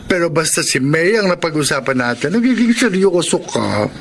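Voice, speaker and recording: A man's voice: a little high, sing-song, moderate pace, creaky, slight background noise. An actor playing a teasing, protective young male friend in a casual manner for a Tagalog radio drama.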